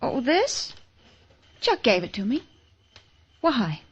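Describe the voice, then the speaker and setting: High, husky, spirited frontier girl. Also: very animated, slow pace, heavily acted. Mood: flustered. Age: young adult. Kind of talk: old-time radio western acting. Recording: dull vintage radio recording.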